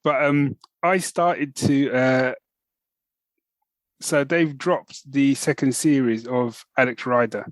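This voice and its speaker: A man's voice: mid-range voice, steady tone, takes it slowly, no echo. Enthusiastic rambling male podcaster. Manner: enthusiastic, rambling with many restarts. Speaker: podcast co-host. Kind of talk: casual chat.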